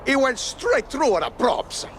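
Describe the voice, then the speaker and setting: An actor playing an excitable, exasperated cartoon circus boss with an Italian accent, theatrical and exclamatory in a video game. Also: male voice, very high-pitched, takes it slowly, a little hiss or hum, heavily acted.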